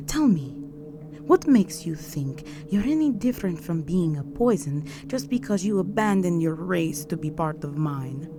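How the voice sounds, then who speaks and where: A woman voicing an imperious, seductive vampire countess in a scripted audio roleplay, poised, commanding and softly menacing. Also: low voice, background noise. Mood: cold, menacing.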